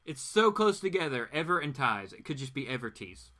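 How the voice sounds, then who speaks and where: A man doing a casual dramatic reading as host, adding slangy, wry asides in an animated way. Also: medium pitch, a little fast. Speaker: host reading comic books aloud.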